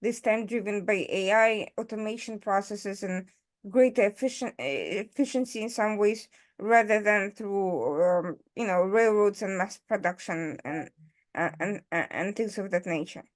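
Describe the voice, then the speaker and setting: Woman host, normal pitch, asks slow careful questions w some ums. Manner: measured questioning with fillers. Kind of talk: podcast interview.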